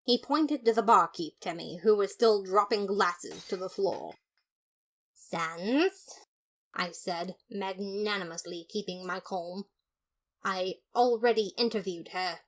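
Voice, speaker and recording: A woman playing a pompous, fastidious skeleton detective, narrating a story in the first person with theatrical, haughty disapproval. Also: mid-range voice. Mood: exasperated.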